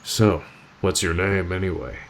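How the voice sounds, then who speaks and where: A man playing an aloof, stoic teenage delinquent boy, curt and deadpan, in an ASMR roleplay addressed to the listener. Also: sits low, unhurried, gravelly, slight background noise.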